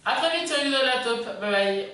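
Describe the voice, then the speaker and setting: A man presents a countdown in a casual, animated way, with a high voice that swings widely. He sounds far from the microphone, chatting to viewers as a channel host. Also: quick pace. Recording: echoey room, distant microphone.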